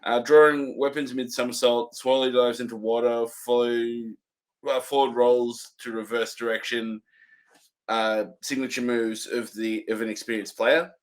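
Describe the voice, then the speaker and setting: A man's voice: medium pitch, little pitch movement, normal speed. Easygoing young man co-hosting a review. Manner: easygoing, laughs often, reads notes. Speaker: co-host. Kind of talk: casual review chat.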